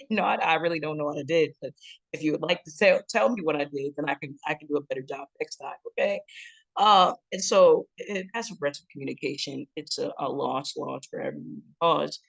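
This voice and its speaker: Deep booming chest voice, lively teaching talk about getting along. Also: a little fast, gravelly, rough recording. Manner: lively, breaks into acted quotes and laughs. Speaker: relationship educator. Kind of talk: instructional talk to camera.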